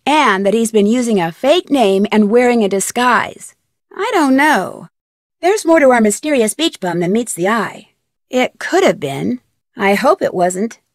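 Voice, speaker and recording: A woman's voice: a little high, no room sound, clean studio sound, lightly acted. An actress playing an inquisitive, polite young woman detective in an adventure game, speaking earnestly. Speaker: video game lead character. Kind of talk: scripted adventure game dialogue.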